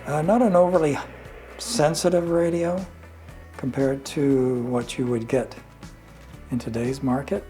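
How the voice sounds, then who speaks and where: An older man presents a hands-on product walkthrough in a medium-pitched voice. He explains things casually and without hurry, pausing partway through sentences, with faint music in the background. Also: fairly even intonation, mellow. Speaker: vintage radio reviewer.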